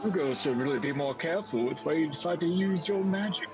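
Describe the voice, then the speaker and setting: A man playing a seasoned older adventurer in a tabletop game, cautious about magic, with a measured, admonishing, folksy manner. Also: a little high, animated, voice pushed up, natural voice. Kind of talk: in-character tabletop roleplay. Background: music.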